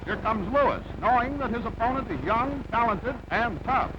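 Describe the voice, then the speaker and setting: High-voiced man calling vintage newsreel sports. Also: animated, moderate pace. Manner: punchy present-tense play-by-play. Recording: dull, very noisy old film soundtrack.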